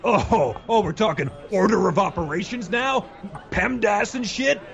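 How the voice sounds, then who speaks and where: A man's voice: high-pitched, expressive, unhurried, creaky, dull audio, pitched above the performer's own voice, full character voice. A man voicing an indignant, argumentative cutthroat in a fantasy tavern sketch, incredulous and quibbling.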